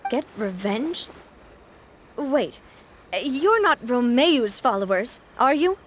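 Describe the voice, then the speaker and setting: A woman's voice: sits high, sing-song, takes it slowly, a little hiss or hum, full character voice. A woman voicing a confident, cheerful young female gang leader in a video game dub, upbeat in talk and commanding in a fight. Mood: stern.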